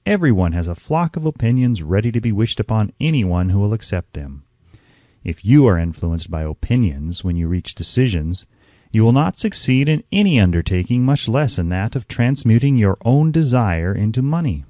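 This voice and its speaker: A man reading an audiobook as a narrator, in a measured, instructive way with deliberate emphasis. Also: mid-range voice, dark tone, no room sound.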